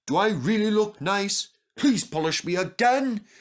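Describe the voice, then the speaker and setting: A man's voice: high-pitched, expressive, a little slow, voice pushed up. A man voicing a sleepy, vain but kindly male steam engine in a children's storybook. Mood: eager, pleading. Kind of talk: children's storybook character acting.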